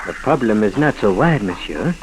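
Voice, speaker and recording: An actor playing a cynical, formal Haitian doctor with a French accent in a 1940s radio drama. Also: male voice, fairly low pitch, animated, normal speed, close to an everyday voice. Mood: earnest, persuasive. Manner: formal, suave, punctuates speech with courteous address. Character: cynical, smooth Haitian doctor educated in Paris. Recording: noisy 1940s radio broadcast recording.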